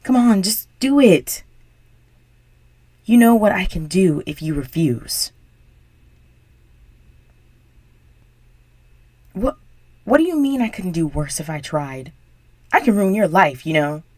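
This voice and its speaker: A bossy, exasperated popular high school girl played by a young woman in an audio roleplay. Her voice sits low, and the sound is close and dry. She pushes and whines with sighs, a brat who is secretly desperate. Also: very animated, measured pace, long pauses, a little mellow, no room sound. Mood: threatening, dismissive. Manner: whiny, sighing, pushy. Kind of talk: intimate audio roleplay addressed to the listener.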